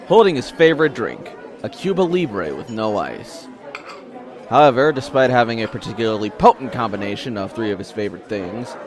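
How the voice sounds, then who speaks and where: A man reading scripted story narration for an audio drama as its narrator. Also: medium pitch.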